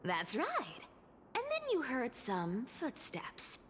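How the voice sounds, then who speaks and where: A woman's voice: high-pitched, wildly swooping pitch, slow, fairly bright tone, a little hiss or hum, exaggerated voice. A woman voicing a mischievous young female trickster demigod in an anime-style game, with a sly, playful, breezy manner. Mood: playfully explaining.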